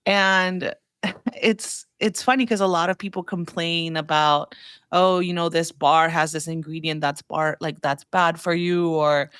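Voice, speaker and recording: A woman's voice: lowish voice, fairly even intonation, measured pace, fairly bright tone, smooth, no echo, studio quality. A woman giving casual conversational commentary as a podcast guest, rambling informally and peppering her sentences with "like". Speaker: podcast guest, food trends newsletter writer.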